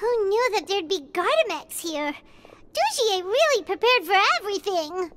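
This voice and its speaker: An extremely high, squeaky fairy sidekick chirps with wide, bouncing intonation. The childlike game character speaks in exclamations, curious and easily indignant. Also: female voice, big pitch swings, normal speed, very noisy. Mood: surprised, concerned. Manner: exclamatory, refers to self in third person. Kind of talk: animated game voice acting.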